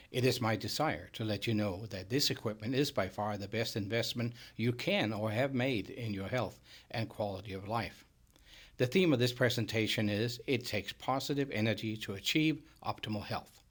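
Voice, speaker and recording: A middle-aged man from Denmark with a Danish accent, a native Danish speaker, delivering a scripted sales presentation. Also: medium pitch. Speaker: business owner and presenter.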